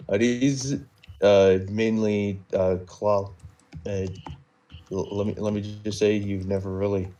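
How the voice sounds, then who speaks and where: A man recounting a roleplay story off the cuff on a live stream, halting and measured, wandering into small digressions. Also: fairly low pitch, little pitch movement, warm tone, muffled recording. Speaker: storyteller recapping a game server roleplay.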